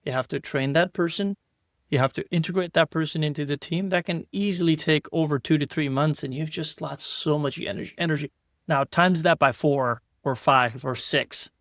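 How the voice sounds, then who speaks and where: A man's voice: a little high, normal speed, a bit bright, dry recording, clean studio sound. A man hosting an interview podcast, talking in a loose, rambling way with repeated words and restarts. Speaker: podcast host. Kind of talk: casual interview chat.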